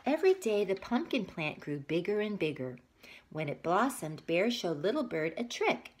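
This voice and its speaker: A woman teacher reading a picture book aloud to young children, expressively, with breathy asides and questions to her listeners. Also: medium pitch, very animated, moderate pace, a little hiss or hum.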